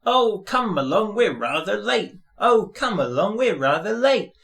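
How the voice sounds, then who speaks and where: A man voicing a proud, impatient little male tank engine in a children's storybook, brisk and bossy. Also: high voice, sing-song, creaky, a bit bright, pitched above the performer's own voice, heavily acted. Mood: hurried, impatient.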